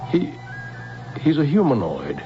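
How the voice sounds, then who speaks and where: An American actor playing a protective, fatherly older scientist in a radio drama, speaking gently and earnestly with hesitant pauses. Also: medium pitch, huge pitch swings. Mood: earnest, explaining. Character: protective older scientist, tender fatherly guardian to a young woman. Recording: dull old radio recording with music.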